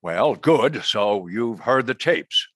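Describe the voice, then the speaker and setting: An actor playing an eager-to-please deputy director in a comedic radio play, husky and prone to stammering. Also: male voice, fairly low pitch, expressive, slow, fairly bright tone, no echo, studio quality, heavily acted. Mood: flustered.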